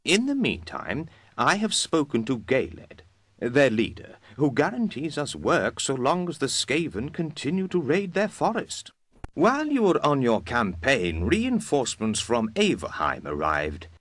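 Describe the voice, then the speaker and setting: A man's voice: medium pitch, huge pitch swings, a little slow, fairly bright tone, no room sound, full character voice. An actor playing a practical male adviser who briefs his commander in a fantasy game. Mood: practical, informative. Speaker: briefing narrator.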